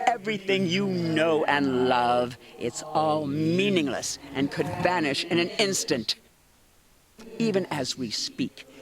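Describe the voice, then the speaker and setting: A man's voice: medium pitch, natural voice. A man playing a haughty, melodramatic eldritch cosmic horror in a video game, speaking in a grandiose, theatrical villain style. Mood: menacing, grandiose. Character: haughty eldritch cosmic horror, menacing yet increasingly flustered.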